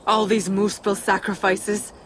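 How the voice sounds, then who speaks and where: A woman playing a proud, defiant giantess, a tyrant's daughter, measured and wry in a video game cutscene. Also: mid-range voice, level tone, slight background noise, close to an everyday voice. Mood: cool resolve.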